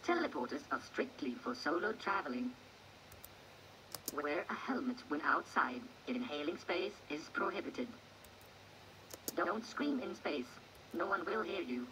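A woman playing a female info robot in a video game, giving formal, deadpan public announcements for a space colony, heard through laptop speakers. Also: sits low, unhurried, pauses often. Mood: detached, official. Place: played from a laptop, filmed close by phone. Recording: game audio filmed off laptop speakers, noisy.

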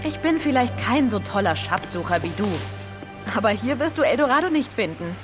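A fairly high, animated young woman adventurer in an action game. She is plucky, brisk and teasing, with faint music behind her. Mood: teasing, confident. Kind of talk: cinematic video game voice acting, German dub.

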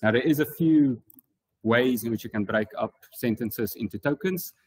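A man's voice: medium pitch, normal speed, darkish tone, a little hiss or hum. A man with a South African accent presenting an informal technical tutorial as a conference speaker, explaining things in a casual way. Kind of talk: informal technical talk with slides.

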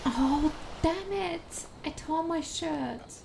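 A woman's voice: high voice, very slow, vocal fry, a little mellow, a little hiss or hum, exaggerated voice. A woman playing an exasperated, grumbling villager in a fantasy video game, heard at a distance over ambience. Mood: annoyed. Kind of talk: video game dialogue acting.

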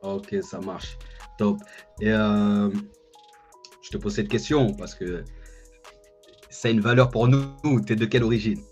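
A man's voice: on the low side, measured pace, pauses often, darkish tone. A man in his thirties hosting a casual interview, often repeating his phrases. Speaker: interviewer and host. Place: indoor room with bookshelf, video call. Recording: dull lo-fi online call, music behind. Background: music.

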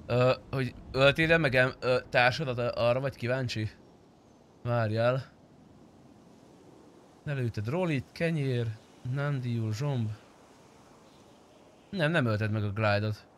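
A man's voice: medium pitch, long pauses, fairly bright tone, slight background noise. A young man chatting casually while livestreaming a game, blunt and slangy with frequent swearing. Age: young adult. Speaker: game streamer. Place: indoor streaming room.

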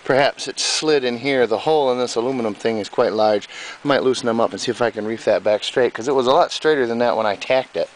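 A man's voice: medium pitch, quick pace, low-quality recording. Folksy man rambling over hiss. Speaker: do-it-yourself mechanic filming own project. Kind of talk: casual off-the-cuff project commentary. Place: outdoors in an overgrown yard. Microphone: handheld camera mic. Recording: hissy handheld camera recording.

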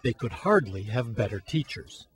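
A man narrating a TV news feature as producer and reporter, in a measured scripted delivery. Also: medium pitch, sing-song.

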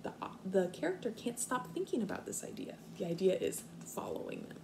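A young woman, a kindergarten teacher, reading aloud expressively and explaining things simply and deliberately to small children. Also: mid-range voice, huge pitch swings, gravelly, in a whisper. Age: young adult. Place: living room at home, indoors. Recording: faint room noise, home video.

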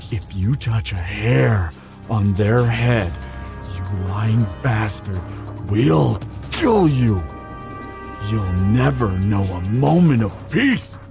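A man's voice: fairly low pitch, lively intonation, takes it slowly, gravelly, over music, exaggerated voice. A man playing a captured, defiant and desperate superhero, protective of a lover, in an ASMR audio role-play with a strained delivery. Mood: defiant, threatening.

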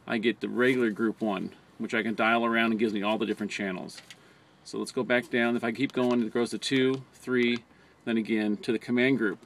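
An American man narrates an instructional video in a plain, step-by-step way. His voice sits at an average pitch for a man. It is an amateur camera recording with some noise behind the voice. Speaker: fire department communications trainer. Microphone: handheld camera or phone close by. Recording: noticeable background noise, amateur camera audio.

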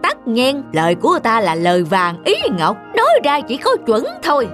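A man's voice: very high voice, huge pitch swings, moderate pace, fairly bright tone, soft background music, heavily acted. A man voicing a greedy, pompous middle-aged village landlord who bullies and blusters in a cartoon. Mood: smug, scheming. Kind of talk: animated cartoon acting.

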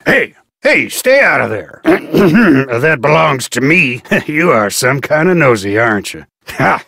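An actor playing a gruff, blunt fisherman in a video game, folksy and dismissive. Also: fairly high pitch, big pitch swings, slow, pushed and bright, no echo, clean studio sound, full character voice. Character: gruff fisherman and harbor master hopeful, blunt.

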